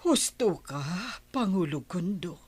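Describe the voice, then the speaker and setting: A woman with a Filipino accent playing a humble, repentant tribeswoman in a radio drama, in a husky voice. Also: fairly low pitch, expressive, takes it slowly, a little mellow, exaggerated voice. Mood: remorseful, grateful. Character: humble, repentant tribeswoman, grateful to her chief.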